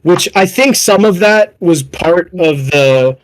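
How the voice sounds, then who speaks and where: Youngish guy, kinda high voice, casual fan talk, swears and pokes fun at himself. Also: lively intonation, slow, low-quality recording. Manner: profane, slangy, self-deprecating. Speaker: podcast panelist.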